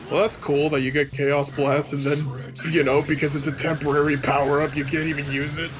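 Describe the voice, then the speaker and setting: A man's voice: on the high side, level tone, brisk, a loud crowd. A man giving casual, joking game commentary in a sarcastic, mocking way. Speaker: let's play commentator. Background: loud game music.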